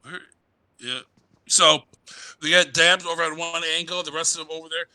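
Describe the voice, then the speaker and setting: A man's voice: a little high, level tone, moderate pace, pushed and bright, dry recording. A man working as an esports analyst, breaking down team play in a live, coaching tone. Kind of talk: live game commentary. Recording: game gunfire and engine noise under voice.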